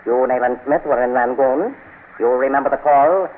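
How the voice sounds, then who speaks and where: An actor playing a gloating, cultured criminal mastermind with slow, mock-courteous menace in an old radio serial. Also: male voice, mid-range voice, lightly acted. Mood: ominous threat. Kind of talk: 1930s-40s radio serial acting. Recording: tinny, noisy old radio broadcast.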